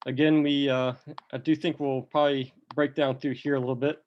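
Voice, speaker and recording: A man talks through trading charts at an unhurried pace, explaining each step as he goes. He is a little under the weather and has a slight cough. His pitch sits in an ordinary middle range for a man. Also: little pitch movement, lo-fi sound. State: a bit sick with a cough. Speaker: crypto chart analyst. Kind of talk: screen-recorded chart walkthrough.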